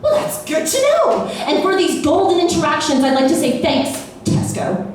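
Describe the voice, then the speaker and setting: Young american woman reading her poems at an open mic, high voice, echoey bar, sounds far from the mic. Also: big pitch swings, moderate pace, heavy background noise. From Austin, Texas, USA. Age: young adult. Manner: playful, wry, with sudden pitch jumps and laughs. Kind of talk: spoken word poetry performance. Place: small bar.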